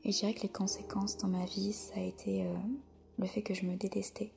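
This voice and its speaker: A soft-spoken French woman, a podcast guest, answering slowly with many hesitant pauses in a whispery voice. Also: medium pitch, flat delivery, vocal fry. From France. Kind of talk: podcast interview.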